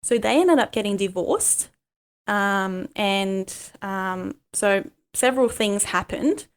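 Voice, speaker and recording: Halting, reflective woman. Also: medium pitch, animated, clean tone. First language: Cantonese. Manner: halting, many pauses and ums, reflective. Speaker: guest, life coach. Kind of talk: candid personal interview.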